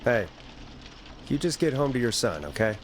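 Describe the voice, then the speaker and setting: An actor playing a calm late-night radio host in a video game, with composed, showy announcer patter. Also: male voice, mid-range voice, moderate pace, very noisy, close to an everyday voice. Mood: calm, focused.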